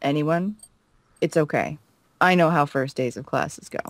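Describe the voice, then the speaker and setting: Male culinary professor, read by a woman: composed, formal, dryly measured, lower register, for a visual novel. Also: dry recording. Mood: dry patient. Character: composed male cooking professor addressing a class.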